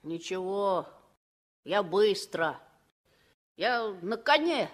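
Earnest, very slow-talking boy. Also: medium pitch, lively intonation. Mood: solemn, boastful. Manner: solemn, short deliberate phrases. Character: earnest, boastful young village boy dreaming of battle. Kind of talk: 1960s Soviet radio play acting.

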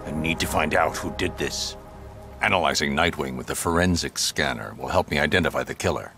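An actor playing a grim, brooding vigilante detective in a video game, speaking in a terse, grave, deliberate way. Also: male voice, a bass voice, over music. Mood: grim analysis.

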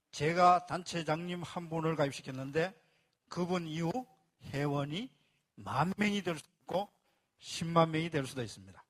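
A man's voice: on the high side, a bit bright. A man presenting a membership plan to a live audience, explaining step by step and repeating key phrases. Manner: speaks to a live audience and asks for applause.

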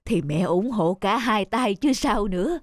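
A woman voicing a gentle elderly mother in an animated moral tale, speaking slowly and tenderly. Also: medium pitch. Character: gentle elderly mother confiding in her grown son.

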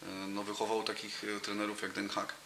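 Low, flat-voiced man in a roomy cafe. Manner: halting, many fillers and restarts. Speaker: interview guest, football coach. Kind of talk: casual interview chat. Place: cafe room with brick wall and sofa. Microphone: table mic in front of him. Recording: roomy, noisy room.

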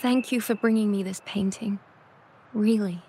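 An actress voicing a self-doubting, remorseful woman painter in a video game, in a wistful, halting manner. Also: medium pitch, fairly even intonation, mellow, breathy edge, lightly acted. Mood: wistful, rueful. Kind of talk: video game story dialogue.

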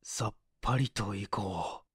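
Gravely hurt man acted by a male narrator: low, subdued, terse and pained, wry edge, for an audiobook. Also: measured pace, dry recording, clean studio sound. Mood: fading mutter. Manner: strained, terse, low muttering. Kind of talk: Japanese audiobook character acting.

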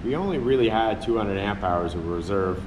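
A man's voice: fairly low pitch, lively intonation, moderate pace. A man who owns a sailboat, methodically walking through a battery install step by step. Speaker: sailboat owner. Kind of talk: explaining a do-it-yourself install. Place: inside a sailboat cabin. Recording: echoey cabin with loud hum.

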